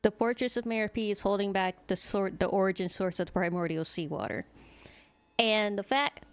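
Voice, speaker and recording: A woman's voice: mid-range voice, normal speed, smooth, no room sound. A woman streamer giving casual live game commentary in a playful, teasing, blunt way, close to the microphone.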